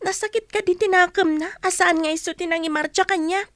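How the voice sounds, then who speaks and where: Teenage daughter, radio drama: piercingly high, bright, resentful, pleading. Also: female voice, fairly even intonation, brisk, no room sound. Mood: hurt, doubting. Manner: plaintive, reproachful. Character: hurt, resentful teenage daughter of a poor family.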